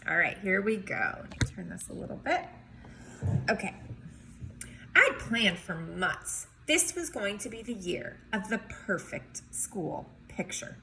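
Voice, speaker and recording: A woman reading a storybook aloud in an expressive, emphatic way, with chatty asides as if to young children. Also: mid-range voice, unhurried, creaky, background noise. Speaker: teacher or reader reading a picture book aloud.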